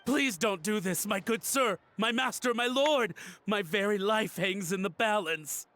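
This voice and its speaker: An actor playing a nervous, guilty antique collector in a video game, melodramatic and easily flustered. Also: male voice, high-pitched, measured pace, bright, forceful tone, faint music behind. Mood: panicked, pleading. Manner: flustered stammering and melodramatic pleading. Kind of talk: voice-acted game dialogue.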